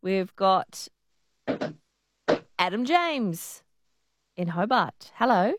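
A woman's voice: medium pitch, big pitch swings, very slow, background noise. A woman hosting a call-in radio quiz, chatting with callers and laughing often.

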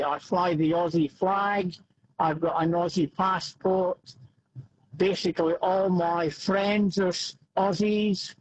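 A man with a Scottish accent calling in to a talkback radio show, speaking in a blunt, opinionated way. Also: a little high. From Scotland. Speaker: caller. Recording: dull phone line.

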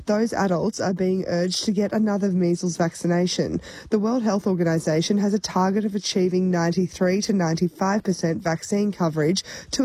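A woman reporter delivering a measured, formal health news report. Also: lowish voice, dark tone, breathy edge, no echo, poor audio.

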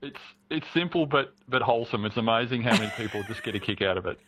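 A man, a pumpkin grower, chatting as a radio guest in a relaxed, easygoing way with small laughs. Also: mid-range voice, brisk, slightly rough, no echo, rough recording. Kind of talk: casual radio interview.

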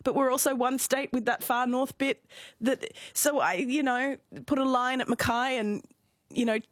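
An Australian woman with a croaky voice from a cold, chatting hesitantly as a radio panel guest with many restarts. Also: sits high, moderate pace, dry recording. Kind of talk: casual radio panel chat.

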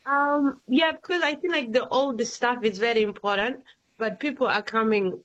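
A woman's voice: on the high side, normal speed, a bit bright. Woman, from Somalia, poet guest, hesitant fillers, slightly dull recording. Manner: hesitant, many fillers, laughs often. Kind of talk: casual interview chat.

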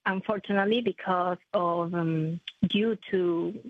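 A woman, a prosthetist answering interview questions in a halting, self-correcting way. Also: on the low side, steady tone, no echo. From Ecuador. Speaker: maxillofacial prosthetist, guest. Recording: tinny phone-like line, dry.

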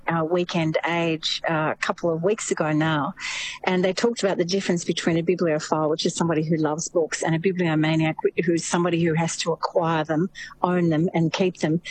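Aussie woman guest, low-ish voice, slow thinking-out-loud chat, bit of hiss. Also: low-quality recording. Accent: Australian. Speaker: guest, journalist and podcaster. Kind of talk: casual radio interview.

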